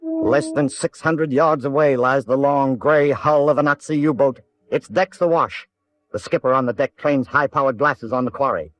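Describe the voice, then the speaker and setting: A man's voice: mid-range voice, moderate pace, a bit bright, low-quality recording. An American man narrating a war drama in a grave, emphatic 1940s radio style.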